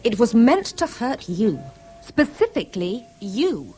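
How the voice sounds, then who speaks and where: An actress playing a sensible, teasing British companion, composed in manner, in a 1970s radio drama. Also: female voice, on the high side, big pitch swings, measured pace, dark tone, faint music behind. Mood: firm, concerned.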